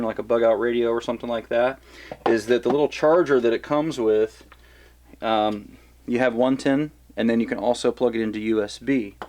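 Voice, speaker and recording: A man giving a relaxed, rambling product review of radios, with lots of fillers and restarts. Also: mid-range voice. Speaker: survival gear reviewer.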